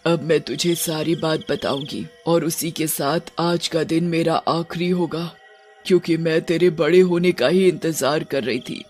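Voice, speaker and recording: A man voicing a cursed old grandmother witch, menacing yet tender, in an animated horror bedtime story. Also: a little high, unhurried, soft background music, natural voice. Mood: regretful.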